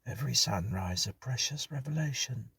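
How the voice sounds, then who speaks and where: A man voicing a shaken survivor who speaks in a hushed, solemn tone. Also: mid-range voice, expressive, normal speed, gravelly, husky, distant mic, voice pushed up, heavily acted. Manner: hushed, whispery.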